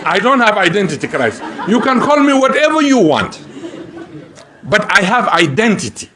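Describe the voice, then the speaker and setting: A man's voice: high-pitched, big pitch swings, moderate pace, a crowd in the background. A man from Africa giving a public talk as a lecturer, emphatic and humorous, repeating phrases for effect. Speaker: university lecturer.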